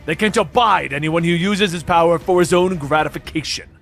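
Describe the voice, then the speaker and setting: A man's voice: a little high, fairly quick, gravelly, fairly bright tone, faint music behind. A man voicing a haughty, sneering alien tyrant villain in a theatrical, bellowing manner for a comic book story. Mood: angry, mocking.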